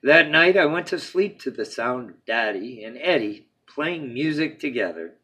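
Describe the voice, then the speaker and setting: A man reading a children's storybook aloud as a bedtime story, with dramatic phrasing that opens emphatically and falls to a hush at phrase ends. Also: medium pitch, expressive, moderate pace, husky.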